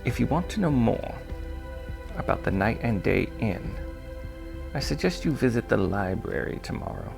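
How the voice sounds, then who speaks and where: A man voicing a kindly old male shopkeeper in an audiobook, with courteous, old-fashioned, gently formal phrasing. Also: mid-range voice, sing-song, normal speed, vocal fry, over music, pitched above the performer's own voice. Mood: kindly, reassuring. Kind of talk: audiobook character voice.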